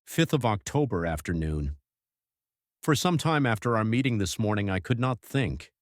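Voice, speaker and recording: Guy playing a grave young husband narrating his diary, lowish voice, solemn, dry audiobook booth sound. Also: lively intonation, moderate pace, dry recording, natural voice. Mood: reflective, troubled. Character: grave, troubled, devoted young husband writing his journal.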